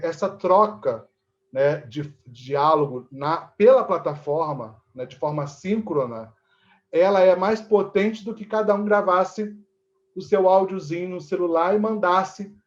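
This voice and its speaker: Brazilian male interviewee, fairly high voice, explanatory and conversational, lo-fi sound. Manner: explanatory, repeatedly seeking agreement with tag questions. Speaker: interviewee, actor and theatre teacher. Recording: dull, lo-fi audio.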